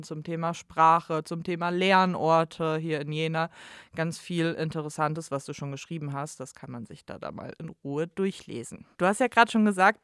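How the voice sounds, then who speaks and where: A woman hosting a university podcast, asking questions and explaining things in a clear, organized way. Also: sits low, dry recording, studio quality. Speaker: podcast host, university staff. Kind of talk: friendly podcast interviewing.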